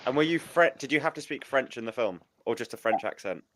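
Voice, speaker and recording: A man's voice: medium pitch, lively intonation, fast talker, a bit bright. A man with a Northern English accent hosting a podcast, asking teasing, jokey questions in casual chat. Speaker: podcast host.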